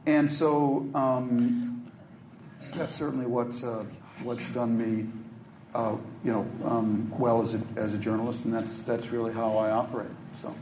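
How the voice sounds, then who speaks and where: A man from Minneapolis speaks on a panel in a hotel conference room, thinking aloud with plenty of ums and restarts. The room is echoey, with a faint hum of noise behind him. His pitch sits in a middling range. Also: little pitch movement, a little mellow. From Minneapolis, USA. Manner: thoughtful, halting, many ums and restarts. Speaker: journalist, panelist.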